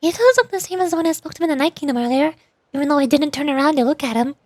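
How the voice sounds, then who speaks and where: A woman livestreaming a game with casual, excitable, playful chatter. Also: sits high, rapid-fire, no echo. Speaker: livestreamer, VTuber. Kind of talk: casual gameplay stream chat.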